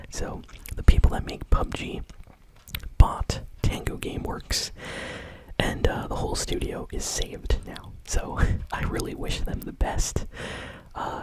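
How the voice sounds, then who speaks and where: A woman hosting gaming news in an ASMR style, speaking in a soft breathy whisper with a casual, chatty, unhurried way of explaining. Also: rough recording.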